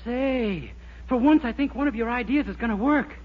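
Very high-voiced, naive young clerk. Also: male voice, moderate pace. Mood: hesitant, naive. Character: naive, eager, underpaid young male store clerk. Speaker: comic actor. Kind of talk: 1940s radio sitcom acting. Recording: muffled, very noisy 1940s radio recording.

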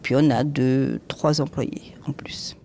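A woman's voice: a bass voice, fairly even intonation, takes it slowly, creaky, dark tone. A woman in her sixties from southern France, casually recalling her life in plain, colloquial words as an interviewee. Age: 60s. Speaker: restaurant chef interviewed. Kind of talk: casual spoken recollection.

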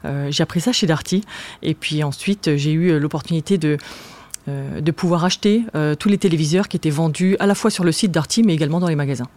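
A woman from France, a marketing director, answering interview questions in an agency office with halting, hesitant phrasing. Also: low-pitched, darkish tone.

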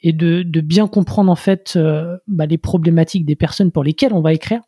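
Podcast host, warm mellow voice, asks long halting questions, dry close sound. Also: mid-range voice, breathy edge, dry recording. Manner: hesitant, many restarts and fillers. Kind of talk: interview questions.

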